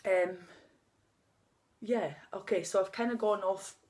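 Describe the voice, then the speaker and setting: A woman's voice: mid-range voice, steady tone, takes it slowly, a little mellow. A woman in her thirties with a stutter who blocks on first sounds, talking openly and emotionally in a personal vlog. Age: 30s. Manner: unscripted, emotional, laughing at times. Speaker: vlogger. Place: outdoors on a sunny day.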